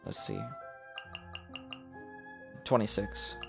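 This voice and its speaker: A man streaming a video game with casual live commentary, muttering to himself as he goes. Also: drawn-out words. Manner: muttering to himself, counting aloud. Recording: music playing behind the voice. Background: game music.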